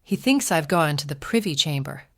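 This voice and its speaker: A woman's voice: sits low, lively intonation, normal speed, fairly bright tone, heavily acted. A woman playing a bold, inquisitive young noblewoman in a fantasy audio drama, with a pointed, questioning manner. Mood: insistent. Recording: roomy echo, music behind.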